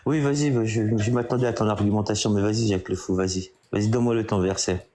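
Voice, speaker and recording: A man calling into a live debate, speaking casually and haltingly, repeating words as he argues. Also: fairly low pitch, steady tone, mellow. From Kabyle background. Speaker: caller debating the host. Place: bedroom.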